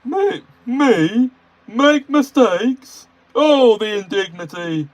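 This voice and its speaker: A proud, self-important big steam engine from a storybook , put on by a man. He whines with indignation and brags of his importance, in a voice lifted well above the reader's own and pushed loud. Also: very high-pitched, big pitch swings, drawn-out words, voice pushed up, full character voice. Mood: indignant. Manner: whiny, indignant, boastful. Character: pompous sulky big male steam engine.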